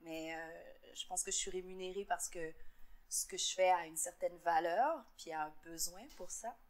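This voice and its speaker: A woman from Montreal with a Québécois accent, answering interview questions in an earnest, reflective way, often pausing with fillers and repeating words. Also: fairly low pitch. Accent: Québécois French. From Montreal, Quebec. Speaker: interviewee, entrepreneur.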